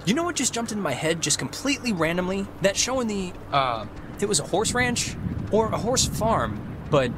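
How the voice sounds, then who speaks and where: An animated American teenage boy with a high voice that leaps around. He rambles and cuts himself off in a joking, excitable way. It is natural game dialogue over loud background noise. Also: moderate pace. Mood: rambling, upbeat. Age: young adult. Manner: rambling, animated, self-interrupting.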